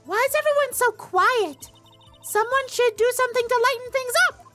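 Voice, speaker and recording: A man's voice: extremely high pitch, normal speed, sharp tone, voice pushed up. A man voicing a perky, encouraging young female sidekick from a video game, speaking in a peppy way over music. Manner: peppy, cheering on.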